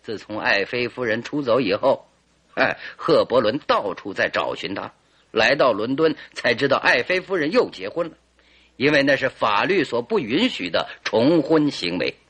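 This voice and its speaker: A confident detective in an old-fashioned radio drama. His bright voice swings widely in pitch, with a rough edge, as he lays out deductions and poses probing questions like a storyteller. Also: very animated, normal speed. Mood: animated narrating. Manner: theatrical, leisurely deductive storytelling with rhetorical questions.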